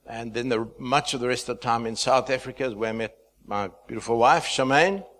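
A man in his fifties from Zimbabwe, a pastor speaking to a church, chatty and halting, with many fillers and restarts. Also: mid-range voice, a bit bright. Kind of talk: sermon introduction.

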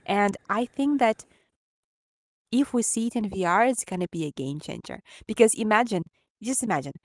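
This woman speaks English with a Ukrainian accent, and her voice sits in a middle register. She co-hosts a casual tech podcast, explaining things eagerly with lots of quick restarts. The sound is dry and close. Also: medium pitch, animated, dry recording. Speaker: podcast co-host.

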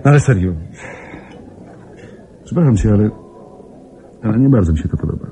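A man's voice: lowish voice, expressive, normal speed, dark tone, slight background noise. An actor playing a bantering, ironic young man, a protective close friend, in a radio play. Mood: concerned. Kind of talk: Polish radio play acting. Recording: radio play with music behind.

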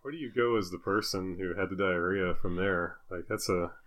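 A man's voice: low voice, normal speed, a bit bright. A man co-hosting a comedy podcast, bantering casually and reading satirical news jokes in a self-deprecating way, often laughing through his own lines. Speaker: podcast co-host and joke writer.

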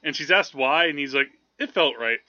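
A man co-hosting a movie podcast, talking casually in a halting, digressive, amused way. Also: fairly high pitch, lively intonation, pushed and bright, dry recording. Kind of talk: casual film review chat. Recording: slightly dull, lo-fi podcast audio.

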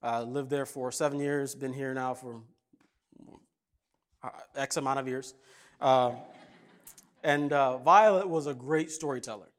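A man's voice: medium pitch, unhurried, long pauses. A man from Kenya preaching a casual, jokey sermon and telling stories. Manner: conversational, jokey, animated. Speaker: pastor.

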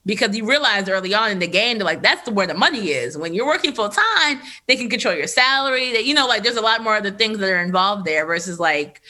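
A woman in her thirties, a podcast host, telling a candid personal story in a loose, animated way with lots of fillers. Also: mid-range voice, fast, hardly any pauses. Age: 30s. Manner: animated, filler-heavy, laughs often. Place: Los Angeles area.

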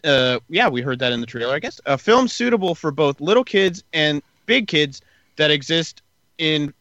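Bright-voiced man reviewing a movie. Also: on the high side, lively intonation, dry recording, lo-fi sound. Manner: halting, many fillers when reading. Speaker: podcast co-host. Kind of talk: casual movie review chat.

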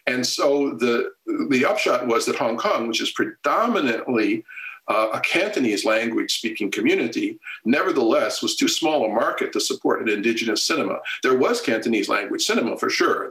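A man answering interview questions at length in a measured, lecture-like way, with frequent pauses and fillers. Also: medium pitch, fairly bright tone, echoey room, poor audio. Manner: measured and expository, many fillers and restarts. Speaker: film scholar guest.

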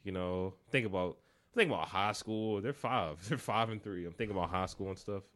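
A man's voice: sits low, a little fast, fairly bright tone. A man in his thirties hosting a casual podcast from a home setup, talking in a laid-back, joking way. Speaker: podcast host. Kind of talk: casual chat. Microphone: desk microphone.

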